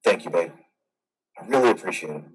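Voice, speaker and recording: A man playing a brooding, guarded, grieving veteran in an amateur stage play reading, with a dramatic, halting delivery. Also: deep voice, lively intonation, slightly rough, distant mic. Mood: strained, polite. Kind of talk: amateur reader's theater over video.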